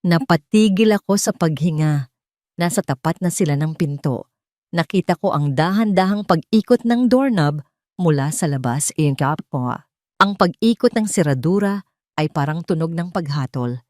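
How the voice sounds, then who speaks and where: A woman narrating a scripted first-person drama in a deliberate, dramatic way with short phrases. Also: sits low, expressive, darkish tone, no room sound, studio quality. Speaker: story narrator.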